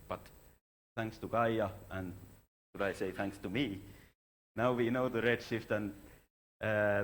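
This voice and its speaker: A man with a low, gravelly voice gives an informal research talk as an astronomer. His delivery is halting and a little self-deprecating, with light noise in the recording. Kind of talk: contributed scientific conference talk. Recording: clear background noise.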